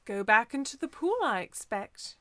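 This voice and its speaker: A man reading a storybook aloud, voicing a dreamy, earnest young girl in a soft, wondering way. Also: very high voice, animated, moderate pace, no echo, pitched above the performer's own voice, heavily acted.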